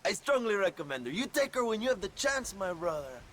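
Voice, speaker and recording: A man playing a pompous, lecherous Mexican rebel leader in a video game, theatrical and flattering, with a Mexican accent. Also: sits high, a little fast, heavily acted. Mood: amused, smug. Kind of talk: video game cutscene acting.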